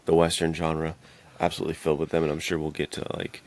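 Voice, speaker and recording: Male podcast co-host, extremely deep voice with heavy fry, relaxed slangy conversational delivery. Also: fast. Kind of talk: casual chat about films and games.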